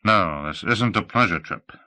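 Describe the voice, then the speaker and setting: A man's voice: deep voice, lively intonation, moderate pace, sharp tone, no echo, exaggerated voice. A man voicing a small, cocky, still-growing photojournalist in an audiobook, with a dry, terse manner. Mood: dry, businesslike.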